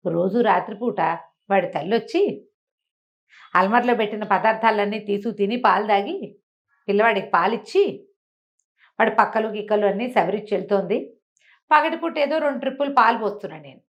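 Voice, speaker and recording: A woman's voice: mid-range voice, brisk, long pauses, lo-fi sound, lightly acted. A woman storyteller voicing a blunt, scolding old village caretaker who grumbles in an exasperated, earthy way. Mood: grumbling.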